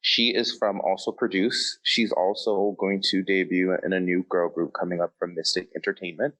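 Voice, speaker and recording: Very low-pitched man chatting on a podcast. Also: little pitch movement, normal speed, fairly bright tone, low-quality recording. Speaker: podcast co-host. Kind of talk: casual podcast chat.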